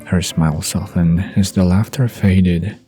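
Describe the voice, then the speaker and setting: A man narrates a bedtime story in a very deep, mellow voice. He reads slowly and evenly, with soft guitar music behind him. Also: fairly even intonation.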